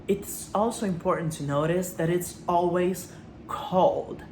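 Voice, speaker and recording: A woman's voice: on the low side, a little slow, a little mellow. A woman giving literary commentary as a video host, speaking reflectively and posing rhetorical questions to her listeners. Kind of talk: literary commentary with read-out quotes. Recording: roomy echo, faint background noise.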